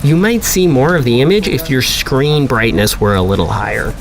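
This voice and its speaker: An actor playing a smooth, falsely cheerful office supervisor who brushes off questions. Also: male voice, mid-range voice, animated, moderate pace, background noise. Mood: breezy. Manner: breezy and dismissive, brushing off questions. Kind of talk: audio drama acting.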